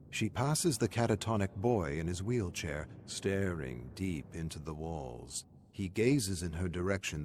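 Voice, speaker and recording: Low-voiced man narrating horror. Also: darkish tone, a little hiss or hum. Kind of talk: dramatic scripted horror story narration.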